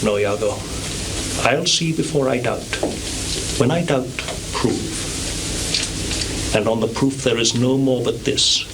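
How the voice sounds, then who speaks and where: An actor playing a proud, troubled noble husband who demands the truth, declaiming formally and emphatically in a live Shakespeare reading. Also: male voice, medium pitch, takes it slowly, long pauses. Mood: proud, resolute. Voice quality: theatrical, declamatory projection. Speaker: stage actor. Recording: noisy, hissy 1980s live theatre recording.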